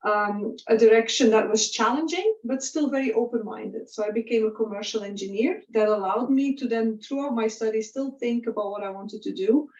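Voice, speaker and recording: Middle-aged female guest, casual halting storyteller, raised pitch, recorded in a very echoey room. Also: a little mellow. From Belgium. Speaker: guest, corporate professional. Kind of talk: casual personal career story. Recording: roomy, distant, dull lo-fi audio.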